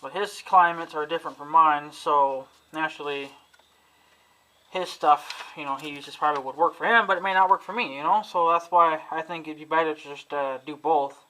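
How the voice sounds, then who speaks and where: A man's voice: a little high, steady tone, normal speed, bright, forceful tone, rough recording. A man vlogging casually at home, rambling and swearing often as he complains about his equipment. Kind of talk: casual talking to camera about gear.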